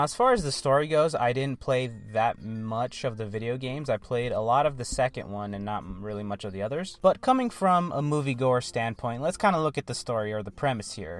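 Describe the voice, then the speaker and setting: A man in his twenties with an American accent gives casual movie commentary, wryly asking himself questions and answering them. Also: mid-range voice. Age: 20s. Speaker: movie vlog host.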